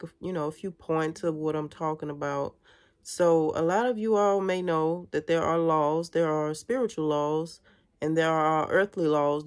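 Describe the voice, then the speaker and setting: A woman in her thirties with a stuffy, congested voice, speaking earnestly to her viewers from her bedroom in a casual spiritual vlog. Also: deep voice. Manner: earnest, addresses viewers as y'all. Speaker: spiritual vlogger. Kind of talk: casual spiritual talk to camera. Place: bedroom indoors.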